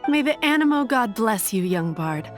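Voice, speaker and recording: A woman playing a gentle church sister in a video game cutscene, greeting a visitor in a formal, courteous way. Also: a little high, big pitch swings, normal speed, soft background music.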